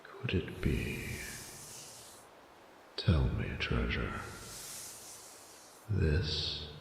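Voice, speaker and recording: Extremely deep, gravelly, pompous dragon. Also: male voice, expressive, exaggerated voice. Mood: intimate, intrigued. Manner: pompous, archaic, deliberate formal speech. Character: ancient proud, vain, flirty male silver dragon. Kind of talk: romantic audio roleplay addressed to listener. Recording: roomy cavernous reverb with noticeable noise.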